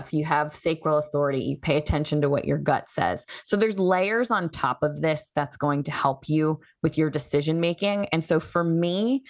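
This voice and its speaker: A woman's voice: sits low, no echo, studio quality. A woman who works as a human design coach, explaining her methods as a podcast guest in a coaching tone. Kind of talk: podcast interview.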